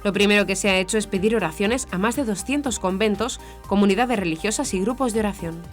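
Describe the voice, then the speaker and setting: A woman's voice: mid-range voice, fast talker. A woman reads scripted news in a formal manner. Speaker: news presenter. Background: background music.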